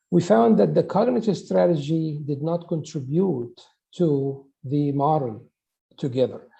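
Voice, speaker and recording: A middle-aged man from Egypt, giving a conference talk in a halting way with restarts and fillers. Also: on the high side, creaky, dark tone, poor audio. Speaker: conference presenter, professor.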